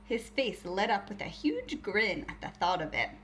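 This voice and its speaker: Animated woman reading a children's storybook. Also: mid-range voice, normal speed, a little hiss or hum. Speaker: elementary school teacher reading aloud. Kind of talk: expressive children's storybook read-aloud.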